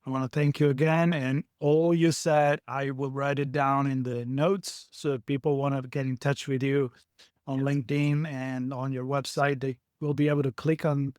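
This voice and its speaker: A man hosting a podcast, enthusiastic and warm in his praise as he wraps up the conversation. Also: mid-range voice, little pitch movement, normal speed, no echo, studio quality. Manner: enthusiastic, wrapping up with thanks. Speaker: podcast host.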